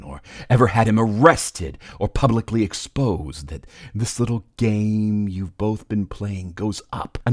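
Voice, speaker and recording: A man's voice: low-pitched, a little mellow, breathy edge, no echo, studio quality, heavily acted. A man voicing a gloating, menacing, talkative supervillain in a comic book dub, with a taunting, condescending drawl. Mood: taunting, smug. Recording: faint music behind.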